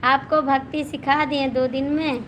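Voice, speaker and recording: A high-pitched woman with an Awadhi accent and a hoarse voice greets her viewers one after another. She speaks slowly and stays on an even, level pitch as she teases them. Clear background noise and passing vehicles are heard behind her phone mic. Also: low-quality recording. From Gonda district, Uttar Pradesh, India. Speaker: folk singer hosting a live stream. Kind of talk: casual live-stream chat, reading out comments.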